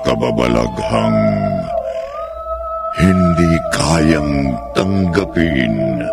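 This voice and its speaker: A sinister horror radio storyteller with an extremely deep, gravelly voice. He draws out his words very slowly and theatrically, with menace, over loud music. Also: expressive, heavily acted. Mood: ominous. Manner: ominous, drawn-out, theatrical delivery. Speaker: narrator and horror show host.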